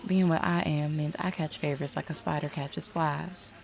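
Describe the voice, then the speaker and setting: A woman's voice: low voice, fairly even intonation, moderate pace, gravelly, darkish tone. A woman playing a confident, streetwise supernatural detective in an audio roleplay, drawling folksy slang in a teasing way. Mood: blunt, knowing.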